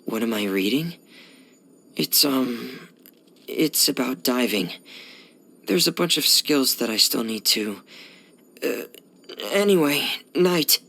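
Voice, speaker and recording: A man playing a shy, gloomy teenage boy diver in video game voice lines, speaking softly and hesitantly in a hushed, breathy voice. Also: mid-range voice, animated, takes it slowly, long pauses, full character voice. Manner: hesitant, reserved, subdued.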